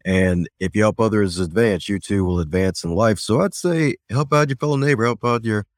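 A man's voice: on the low side, a little fast, no room sound, clean studio sound. A man from the United States, a self-leadership coach guesting on a podcast, upbeat and a little preachy, chuckling at his own jokes. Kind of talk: casual podcast conversation.

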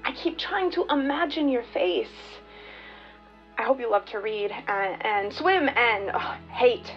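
Casting: anxious young daughter, radio drama monologue, slow halting delivery, slightly muffled with faint music. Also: female voice, fairly high pitch, expressive. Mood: nervous, hopeful. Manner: hesitant, halting, self-correcting. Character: nervous, yearning young adopted daughter.

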